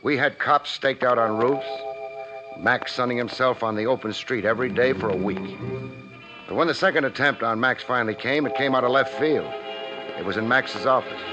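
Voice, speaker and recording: An American actor playing a cool-headed, wisecracking private investigator in a 1950s radio drama, with a wry, clipped, hard-boiled delivery. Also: male voice, medium pitch, moderate pace, lightly acted. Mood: wry narration. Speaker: lead actor and narrator. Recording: muffled old radio broadcast, faint music.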